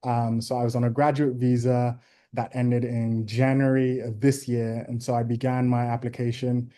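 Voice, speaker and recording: A young man from Ghana talks through his answers on a video call. His voice is mellow and fairly flat in pitch. He is deliberate and thoughtful, often pausing on ums. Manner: measured, thoughtful, with frequent ums. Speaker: interview guest.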